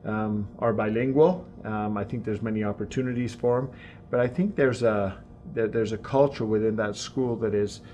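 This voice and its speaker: A man interviewed as an incoming high school principal, earnest, often repeating words as he starts a sentence. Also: steady tone, moderate pace, dark tone, muffled recording, a little hiss or hum. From Tanzania and Mexico. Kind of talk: interview answers. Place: school office.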